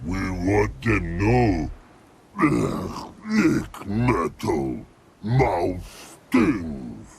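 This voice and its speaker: An actor playing a hulking, slow-witted, belligerent troll in a video game, with a rough gravelly voice and broken grammar. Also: male voice, deep voice, big pitch swings, a little mellow, heavily acted. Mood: gruff, belligerent.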